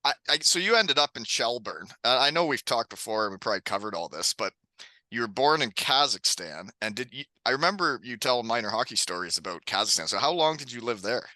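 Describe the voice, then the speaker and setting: A chatty man hosts the conversation, swapping stories and laughing easily. His voice is very bright and forceful. The sound is dry and close. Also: medium pitch, sing-song, a little fast, no echo. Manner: chatty storyteller, laughs often. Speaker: podcast host, hockey coach. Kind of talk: casual chat.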